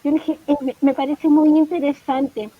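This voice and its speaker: A woman with a Chilean Spanish accent talks in a high voice, picking her words slowly and restarting phrases as she thinks. The sound is muffled and lo-fi, like a video call. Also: little pitch movement, a little hiss or hum. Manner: thoughtful, hesitant restarts. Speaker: professor, guest. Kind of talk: casual conversation.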